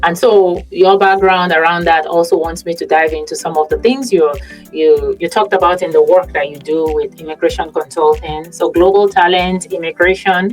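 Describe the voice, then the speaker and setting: A woman's voice: on the low side, fairly even intonation, moderate pace, soft background music. A woman with a Nigerian accent hosting a conversational interview in a reflective, affirming way. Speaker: podcast host and consultant.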